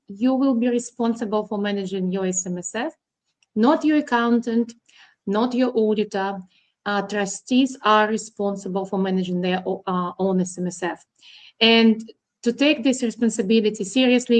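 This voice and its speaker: Middle-aged russian-born woman, accountant explaining things step by step, lots of ums. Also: on the high side. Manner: plain, instructive, with ums and uhs. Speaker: guest, accountant. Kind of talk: explaining to an interviewer.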